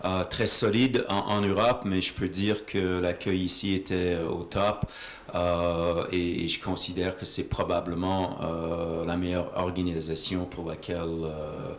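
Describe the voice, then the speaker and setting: Very deep, halting man in an echoey room. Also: flat delivery. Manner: halting, searching for words. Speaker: interpreter. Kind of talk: consecutive interpreting of interview answers. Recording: echoey room with faint noise.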